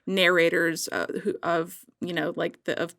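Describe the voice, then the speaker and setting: A woman talking casually as a podcast guest, rambling a little and haltingly as she thinks aloud. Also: fairly low pitch, flat delivery, vocal fry, fairly bright tone, no echo, studio quality.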